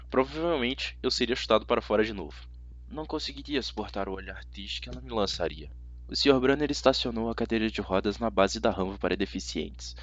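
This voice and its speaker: A man with a Brazilian accent narrating a first-person story as an amateur audiobook reader, dramatic and expressive. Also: mid-range voice. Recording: slightly dull, dry audio.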